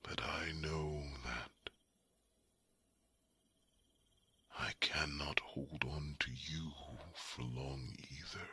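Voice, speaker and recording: An enormous, ancient male dragon in an extremely deep, breathy rasp. The words come very slowly and solemnly, half-whispered and pensive. It is a tender, fatherly, sorrowful creature in an ASMR fantasy roleplay. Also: fairly even intonation, bright, forceful tone, exaggerated voice. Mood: bittersweet, reflective. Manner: slow, solemn, halting, pensive.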